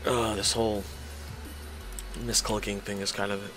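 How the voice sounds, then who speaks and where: A man talking casually to viewers while he draws, his voice hoarse and strained from hours of talking and his thoughts rambling along as he goes. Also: on the low side, level tone, measured pace, over music. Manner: rambling, thinks aloud. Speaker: YouTuber drawing on stream. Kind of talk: casual commentary while drawing.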